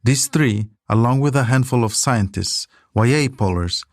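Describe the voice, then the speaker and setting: A man's voice: mid-range voice, normal speed, no room sound, studio quality. A man delivering scripted documentary narration as the storyteller of a podcast.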